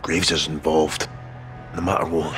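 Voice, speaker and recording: Scottish sergeant for a military game: low-pitched, gritty, cheeky, quick with wry banter, music clearly behind. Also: male voice, flat delivery, a bit bright. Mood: tense, gritty. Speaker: special forces soldier. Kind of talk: video game dialogue.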